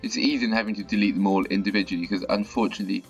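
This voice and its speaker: A man with a croaky, slightly sore-throated voice, chatting casually as he plays and comments on a bus management game. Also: lowish voice, fairly even intonation, rapid-fire. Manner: chatty, mildly exasperated at stuck buses. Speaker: gaming channel host. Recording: dull lo-fi audio with faint music.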